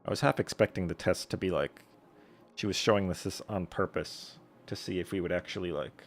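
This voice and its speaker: A man's voice: low-pitched, brisk. A man live-streaming a game with casual commentary and joking, flippant asides, over the game's music.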